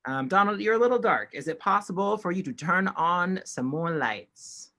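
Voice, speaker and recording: A male interpreter with a bright, forceful tone, voicing a panel's signed remarks in the first person. He relays steadily, often restarting a phrase mid-sentence, over an online call mic. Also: high voice, lively intonation, moderate pace. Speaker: sign language interpreter.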